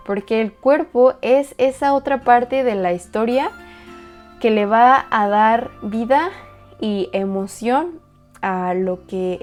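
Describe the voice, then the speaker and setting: A woman dancer and choreographer calmly walking through how she built a dance video, speaking in a measured, reflective way with pauses. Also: mid-range voice. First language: Spanish. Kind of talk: explanatory walkthrough.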